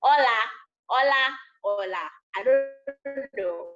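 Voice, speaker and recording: A woman with a Nigerian accent, a Yoruba speaker, talking casually to a class as a guest teacher, animated and repeating herself for emphasis. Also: high voice, slow, music in the background. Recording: dull lo-fi video call audio.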